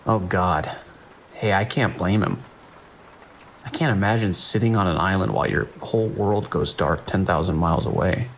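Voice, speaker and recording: Man acting calm deputy with gravelly voice. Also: sits low, a little hiss or hum. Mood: concerned, gentle. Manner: casual, unhurried, reassuring. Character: calm, kindly male sheriff's deputy dispatcher on night shift. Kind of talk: naturalistic audio drama acting.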